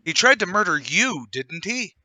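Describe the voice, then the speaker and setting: A man playing a bitter, impatient, accusatory gentleman out for vengeance in a mystery radio play table read. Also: high voice, sing-song, moderate pace, no room sound, voice pushed up, full character voice. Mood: angry, accusing. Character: bitter, impatient gentleman demanding vengeance for his murdered brother.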